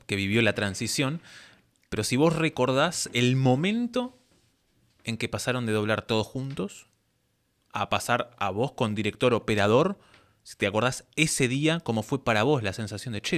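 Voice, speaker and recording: A man's voice: medium pitch, fairly bright tone. A man with an Argentine accent casually interviewing a guest as a podcast host.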